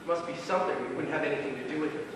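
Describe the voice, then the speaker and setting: An obsessive, stubborn young man played by a stage actor talks very fast and insistently, full of suspicion. The amateur theatre recording is distant, with strong echo. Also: fairly high pitch, fairly even intonation, close to an everyday voice. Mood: suspicious. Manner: insistent, often echoes the other speaker's words. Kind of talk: live amateur stage play acting. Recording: echoey, noisy theatre recording from far off.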